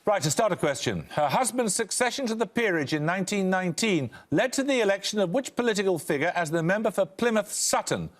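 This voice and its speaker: A man hosting a television quiz show, reading questions and introductions in a crisp, dry, authoritative way with wry asides. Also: high voice, expressive, fairly quick, hardly any pauses, some chatter behind, slight background noise. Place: television studio.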